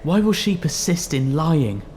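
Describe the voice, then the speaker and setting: Lovelorn young man, poet, earnest, declamatory, theatrical, lightly pitched voice, slightly noisy recording. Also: big pitch swings, a little slow, darkish tone. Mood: bitter. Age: young adult. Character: Youth, a despairing, proud, lovelorn young male poet. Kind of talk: theatrical audio drama acting.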